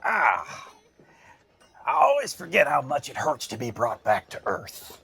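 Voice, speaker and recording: A man's voice: mid-range voice, huge pitch swings, measured pace, vocal fry, sharp tone, husky, heavily acted. A man playing a jittery, exasperated, hard-drinking character in an afterlife audio drama, speaking dramatically. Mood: weary, grudging.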